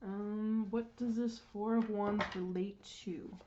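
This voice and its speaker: A woman with a congested throat gives a casual tarot reading, unhurried, clearing her throat and pausing on frequent ums. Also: medium pitch, fairly even intonation, lo-fi sound, background noise. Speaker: tarot reader.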